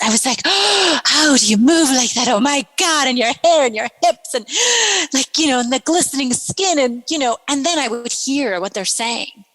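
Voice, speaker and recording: Animated woman from Iran, reverberant. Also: sits high. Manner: animated, gasping, repeats words. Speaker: podcast guest. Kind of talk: storytelling interview.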